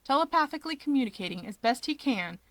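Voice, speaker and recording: A woman reading fan fiction aloud as an expressive narrator. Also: high-pitched, fast talker, no echo.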